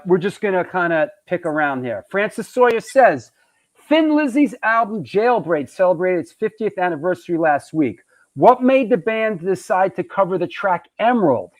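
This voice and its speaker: High-voiced rambling male host. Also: very animated, moderate pace. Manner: rambling, joking, loose. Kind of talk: live show casual chat.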